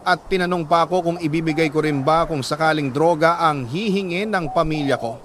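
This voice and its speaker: Guy narrating a dramatic letter, slightly high voice, sentimental and measured, bit of noise behind him. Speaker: radio drama host reading a listener's letter. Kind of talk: dramatic first-person storytelling, scripted narration.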